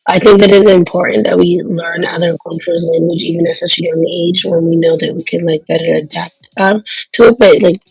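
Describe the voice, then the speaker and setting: A woman from Trinidad chats in a casual discussion. Her voice is husky and fairly flat in pitch, and the sound is close and dry. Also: brisk, no room sound, rough recording. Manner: chatty, laughs between thoughts. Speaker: participant.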